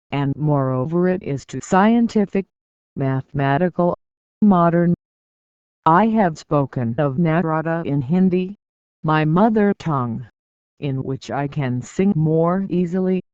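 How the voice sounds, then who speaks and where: Slow, measured audiobook reader. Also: medium pitch, a little mellow, smooth, dry recording, dull audio. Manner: measured, deliberate reading with pauses between phrases. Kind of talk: scripted audiobook narration.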